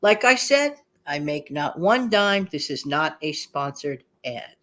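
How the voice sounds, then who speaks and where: A woman's voice: deep voice, animated, unhurried, pushed and bright. A woman with a slightly nasal voice hosting a casual, chatty vlog, speaking emphatically and repeating phrases for effect. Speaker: channel host.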